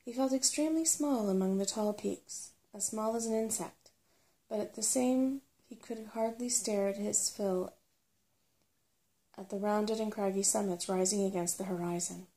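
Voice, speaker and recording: A woman's voice: mid-range voice, moderate pace, mellow. A woman reading a children's fantasy novel aloud as ASMR storybook narration. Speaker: storybook reader.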